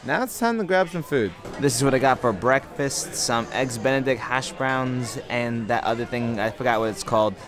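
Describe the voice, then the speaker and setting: A man's voice: mid-range voice, normal speed, hardly any pauses, a crowd in the background. A young man in his twenties casually vlogging with an upbeat, chatty manner, holding the camera at arm's length in an indoor ship restaurant. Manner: upbeat, chatty, reads signs aloud. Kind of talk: casual travel vlog narration. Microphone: handheld camera at arm's length. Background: background music playing.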